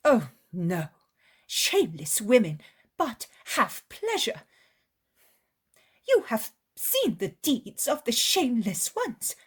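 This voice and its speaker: A woman's voice: on the high side, lively intonation, a little slow, breathy edge, voice pushed up. A woman doing a poor, anxious village mother. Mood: bitter. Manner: pleading and complaining, raised voice.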